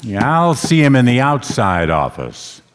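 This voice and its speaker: A man's voice: medium pitch, very animated, a little slow. A man playing a tough hardboiled private detective, narrating his case in 1940s radio play style. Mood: urgent. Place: on stage in a hall. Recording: noisy echoey hall, live stage performance.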